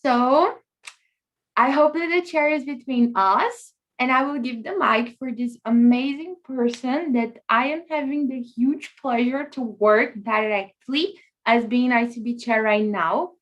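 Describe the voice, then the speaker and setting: A woman with a notably high voice opens an online conference over a video call. She is chatty and enthusiastic and keeps coaxing the audience to take part. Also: a little slow, lo-fi sound. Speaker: conference chair and host. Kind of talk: live online conference opening.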